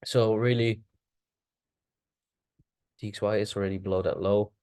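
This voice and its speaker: A man whose first language is Dutch, casually thinking aloud through a chart walkthrough, halting and correcting himself as he goes. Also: fairly low pitch, a little mellow, dry recording, clean studio sound. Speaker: forex trader.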